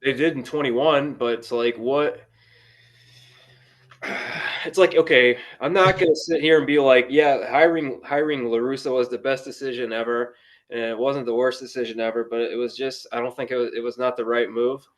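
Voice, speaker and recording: A man's voice: medium pitch, fairly even intonation, normal speed. Casual man in his early thirties. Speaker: guest, baseball fan. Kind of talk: casual chat.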